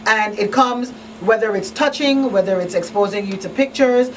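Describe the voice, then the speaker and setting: Woman, talk show panelist, conversational, emphatic, mid-range pitch, distant mic, light room noise. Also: moderate pace.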